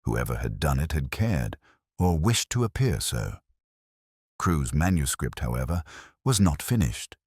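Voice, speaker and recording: A man playing a brooding, cerebral older detective inspector, narrating a mystery in a measured, grave, literary manner for a radio drama. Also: extremely low pitch, sing-song, a little mellow, slightly rough, no echo, studio quality, exaggerated voice. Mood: brooding, reflective.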